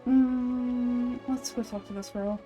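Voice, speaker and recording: A woman's voice: a little high, fairly even intonation, slow, over music. A woman game streamer chatting casually and playfully while she plays, self-deprecating in manner. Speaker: game streamer and amateur voice actor. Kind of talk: casual gameplay chat.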